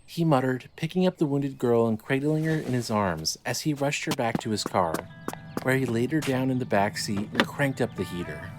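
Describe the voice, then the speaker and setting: A man reading scripted dramatic fiction as narrator, in a measured, descriptive storytelling manner. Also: medium pitch, music in the background.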